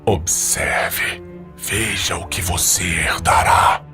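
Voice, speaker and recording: A big slow demon overlord, brazilian accent, low and menacing, loud epic music behind. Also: male voice, fairly even intonation. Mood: menacing, triumphant. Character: commanding male demon lord of a burning legion. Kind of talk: dramatized fantasy audio series.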